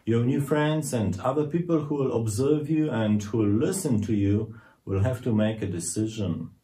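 A middle-aged male priest gives a homily in a fairly low voice. He speaks very slowly and deliberately, with an earnest, measured delivery, as if reading a prepared sermon to camera. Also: warm tone.

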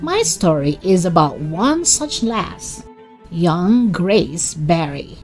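A woman narrates a children's story in a dramatic, expressive way. Her pitch moves a great deal and she takes her time, with faint music underneath. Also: slow pace, rough recording.

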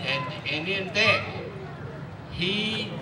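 A man's voice: sits high, drawn-out words. A man emphatically preaching a short sermon at an outdoor community event, calling out for amens. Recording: distant echoey outdoor PA with loud traffic noise.

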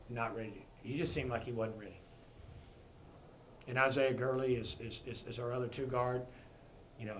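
A middle-aged man speaks as a basketball coach at a postgame press conference, seated before a fixed camera. He talks frankly and plainly in a mid-range voice, restarting and repeating words as he goes. A faint hiss sits under him. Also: mid-range voice. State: disappointed. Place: press conference room before a sponsor backdrop.

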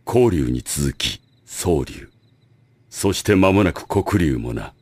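A man playing a calm, scheming school director, measured and formal, in an anime audio drama. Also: rumbling low, breathy edge, no room sound, full character voice. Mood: calm, calculating. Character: calm, calculating male school director with secret plans.

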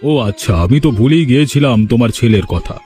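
A man's voice: mid-range voice, animated, fast, mellow, faint music behind, close to an everyday voice. A man voicing a concerned, coaxing village husband in a cartoon folk tale.

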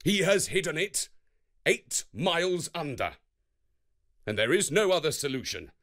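Guy doing a big bossy thunder god for a kids story, forceful, swings up and down. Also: a little high, big pitch swings, measured pace, voice pushed up. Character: loud, brutish, bossy male thunder god. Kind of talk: children's storybook reading.

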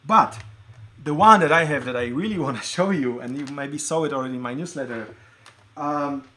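A middle-aged man with a slightly high voice talks informally as he hosts a livestream from his desk. He is originally from Poland. His delivery is conversational and halting, with thoughts that often break off and restart. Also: bright, forceful tone, slight background noise. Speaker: livestream host showing collected keyboards. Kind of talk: casual livestream show-and-tell. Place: indoor room at a desk.

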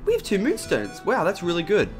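A young man with an Australian accent, his voice raspy from just waking up, chatting casually over gameplay with lots of fillers. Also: sits high, wildly swooping pitch, a little fast, faint music behind. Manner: chatty, rambling with many "like" and "um" fillers. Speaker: gaming YouTuber.

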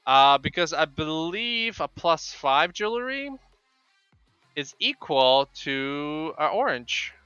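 Bright-voiced Polish man streaming a game. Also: on the high side, very animated, clean tone, dry recording, soft background music. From Poland. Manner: halting, repeats words. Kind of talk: casual live chat with viewers while gaming. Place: small home room with bookshelves. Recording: dull, lo-fi microphone sound.

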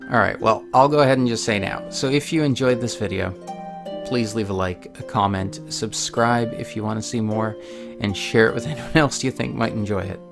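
A man's voice: lowish voice, moderate pace, gravelly, music in the background. A man hosting a video game playthrough, commenting on the game and reading its story text aloud. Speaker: let's play host.

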